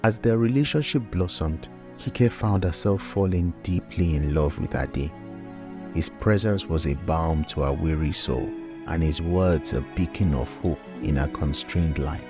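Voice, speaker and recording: A man's voice: rumbling low, measured pace, music in the background. A man narrating a scripted folktale as the storyteller.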